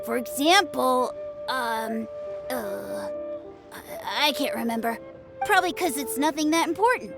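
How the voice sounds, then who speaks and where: An actress voicing an eager, boastful young child who plays at being a sword hero in a video game, naive and excitable. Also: female voice, high-pitched, measured pace, gravelly, music in the background, exaggerated voice. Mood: eager, chatty.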